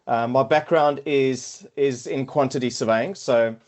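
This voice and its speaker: A man's voice: mid-range voice, unhurried, a little mellow. Hesitant South African man, middle-aged. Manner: hesitant, many fillers and repeated words. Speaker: podcast guest, quantity surveyor. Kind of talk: casual interview chat.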